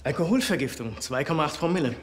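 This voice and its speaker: An actor playing a brisk, matter-of-fact male hospital doctor in a TV series. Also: a little high, animated, slight background noise, natural voice. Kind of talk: naturalistic TV drama acting.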